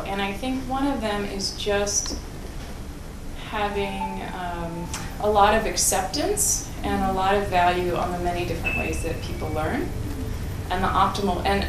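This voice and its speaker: Lowish American woman, reflective and unhurried, recorded in a noisy echoey room. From United States. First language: English. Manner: thoughtful, warm, many fillers and restarts. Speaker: piano teacher, interviewee. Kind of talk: reflective interview answers. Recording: echoey room with clear noise.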